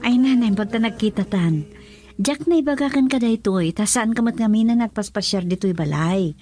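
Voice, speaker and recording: An actress with an Ilocano accent playing an excitable, prying woman in a radio love drama. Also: a little high, a little fast, soft background music, lightly acted. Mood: gossiping.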